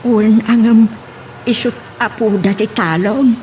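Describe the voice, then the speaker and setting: A slightly high-voiced actress as an exasperated farm woman. She questions indignantly in radio drama style, with faint noise behind her. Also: fairly even intonation, unhurried, mellow, close to an everyday voice.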